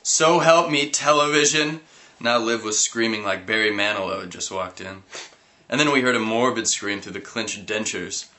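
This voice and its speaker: A man reading a comic story aloud, animated, breaking into giggles and sudden yelps. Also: on the low side, normal speed. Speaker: reader.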